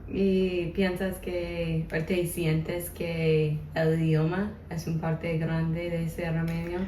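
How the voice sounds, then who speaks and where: A woman conducting an oral history interview, asking brief, gentle questions. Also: lowish voice, level tone, slow. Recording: echoey, distant room mic with faint noise.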